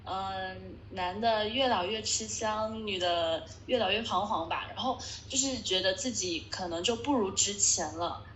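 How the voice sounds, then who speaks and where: A woman's voice: mid-range voice, a bit bright. Woman interpreting, lots of ums, echoey room, faint noise. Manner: hesitant, with many fillers. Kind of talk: consecutive interpreting.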